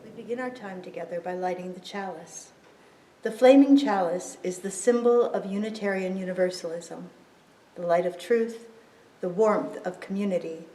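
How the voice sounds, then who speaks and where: A woman giving a ceremonial scripted reading as worship leader, with measured, solemn phrasing. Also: medium pitch, warm tone. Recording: roomy, distant microphone in church.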